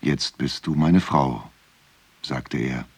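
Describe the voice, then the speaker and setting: An actor playing a grave storyteller, narrating a dark folk legend with measured delivery in a radio drama. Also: male voice, rumbling low, husky, dry recording, exaggerated voice.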